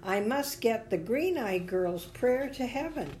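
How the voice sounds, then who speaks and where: A woman's voice: a little high, expressive, a little slow, a little mellow, pitched above the performer's own voice. An earnest, childlike little bubble character from a storybook, acted out by a woman. The voice is raised and insistent, pleading bravely, with some noise in the recording. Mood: determined, pleading. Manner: emphatic, pleading refusals.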